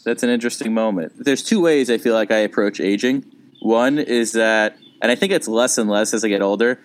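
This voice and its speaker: A man's voice: on the low side, fairly quick. A man in his thirties, a comedian co-hosting a podcast, talking casually and thinking aloud with frequent restarts.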